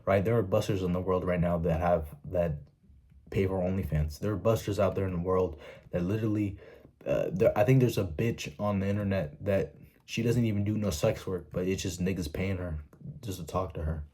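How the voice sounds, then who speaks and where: Young guy, low mellow husky voice, blunt n swears a lot, keeps saying right?. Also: brisk. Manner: blunt, profane, repeats "right?" often. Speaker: YouTuber giving self-help advice. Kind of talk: casual motivational monologue.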